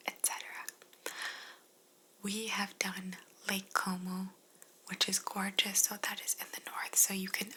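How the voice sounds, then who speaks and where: A young Canadian woman whispering softly through an ASMR question-and-answer chat. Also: medium pitch, slight background noise, rough recording. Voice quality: soft unvoiced whisper. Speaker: whispering Q&A host.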